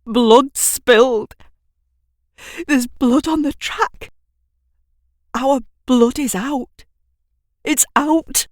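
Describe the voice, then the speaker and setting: A woman voicing a distraught, fearful old man in an audio drama, halting and overwrought as he speaks. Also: high voice, dry recording, studio quality, pitched above the performer's own voice. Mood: despairing.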